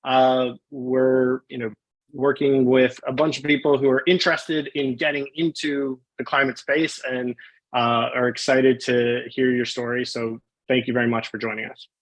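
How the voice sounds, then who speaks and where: A man's voice: mid-range voice, little pitch movement, normal speed, poor audio. A man hosting a live online interview on a video call, friendly and informal as he introduces his guest. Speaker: host, webinar moderator.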